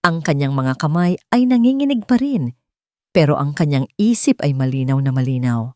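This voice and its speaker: A woman's voice: sits low, very animated, normal speed, a little mellow, dry recording, clean studio sound. A woman narrating a scripted dramatic story in a suspenseful way, with short emphatic phrases.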